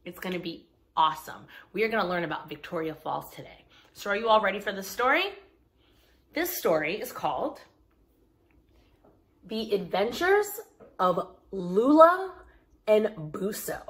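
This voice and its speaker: A woman hosting a story time for young children, speaking directly to them in simple, clear phrases as she talks and reads aloud. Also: medium pitch, sing-song, a little slow, long pauses. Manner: addresses listeners directly, simple explanations with check-in questions.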